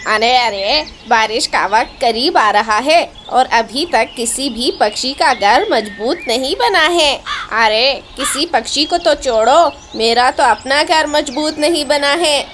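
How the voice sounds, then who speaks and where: A woman's voice: sits high, slow, fairly bright tone, slight background noise. A woman voicing an anxious, fretful male bird in a cartoon story. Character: anxious male bird fretting over his home.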